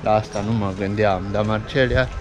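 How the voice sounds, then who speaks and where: A man's voice: fairly low pitch, steady tone, over music. A man in his thirties hosting a casual travel vlog, walking through a street market and pointing things out to viewers on a handheld camera. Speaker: travel vlogger host. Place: outdoor street market in a town. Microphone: handheld action camera at arm's length. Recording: outdoor street noise. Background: street traffic and town noise.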